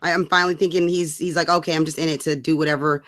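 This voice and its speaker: A woman's voice: sits low, fairly even intonation, rapid-fire, a bit bright. Casting: woman co-host, exasperated and slangy, swears freely in loose, chatty rants. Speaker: co-host of political rant show. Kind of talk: casual chat, profane political ranting.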